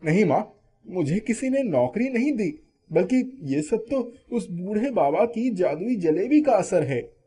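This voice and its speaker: A man playing a troubled, remorseful young son in an animated moral story, speaking in a plaintive, earnest way. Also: high voice, measured pace.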